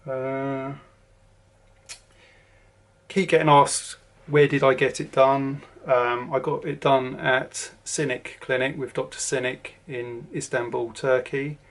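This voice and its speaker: A man's voice: medium pitch, level tone, takes it slowly. A man in his forties from southern England with a southern English accent, giving a casual, rambling to-camera vlog update with lots of ums and restarts. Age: 40s. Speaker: vlogger.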